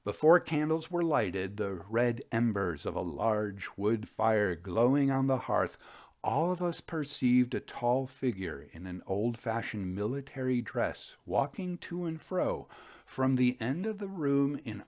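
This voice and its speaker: A man hosting a storytelling show, reading old ghost stories aloud in an expressive, dramatic narrating style. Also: medium pitch, slow pace.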